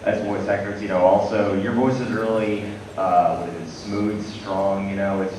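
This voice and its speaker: A man's voice: fairly low pitch, flat delivery, moderate pace, background noise. A man in the audience asking a panel long, rambling questions full of filler words. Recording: echoey hall from far off.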